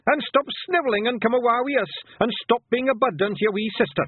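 A tiny brawling pictsie chieftain barks in broad Scottish dialect, pitched up high and bouncing with lively swings. He is cheerful and bossy, a storybook rogue heard through a narrow, phone-like recording. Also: normal speed, slightly rough. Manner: exclamatory, commanding, plain-spoken dialect talk.

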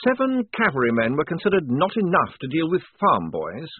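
A prim storybook lieutenant, performed by a male reader, speaks in precise, righteous, excitable phrases. The pitch sits high and swings around a lot, in a narrow, tinny recording. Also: a little fast, slightly rough, no room sound, full character voice. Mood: controlled. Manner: precise, polite officer's diction turning indignant.

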